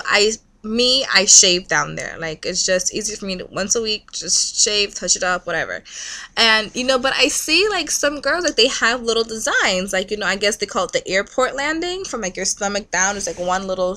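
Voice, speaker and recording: A woman's voice: medium pitch, pushed and bright. A young woman from Trinidad talking candidly in a casual vlog, rambling and peppering her speech with "like". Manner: chatty, candid, heavy use of "like". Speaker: vlogger.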